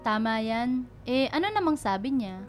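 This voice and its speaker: A woman's voice: fairly high pitch, expressive, normal speed, soft background music, close to an everyday voice. A woman playing a caring, protective young big sister in radio drama dialogue. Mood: teasing, probing.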